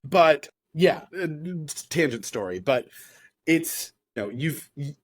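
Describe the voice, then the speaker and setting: A man's voice: a little high, expressive, unhurried. A man hosting a podcast, giving dating advice in a rambling, profane, tangent-filled way. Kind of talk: casual podcast chat.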